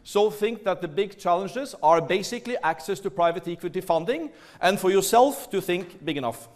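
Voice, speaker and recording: A Norwegian man with a Norwegian accent giving a lively, playful business talk to a seminar audience in a large hall. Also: high-pitched, normal speed. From Norway. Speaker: seminar speaker.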